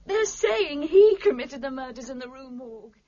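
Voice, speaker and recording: High-voiced, distraught gentlewoman. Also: animated, normal speed, dull audio. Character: distraught young gentlewoman, overwrought and pleading. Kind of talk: audio drama acting.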